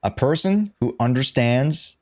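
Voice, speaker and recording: A man's voice: medium pitch, lively intonation, unhurried, a little mellow. A man giving casual, musing reaction commentary as a game streamer, in informal speech. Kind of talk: casual reaction commentary, reading subtitles along.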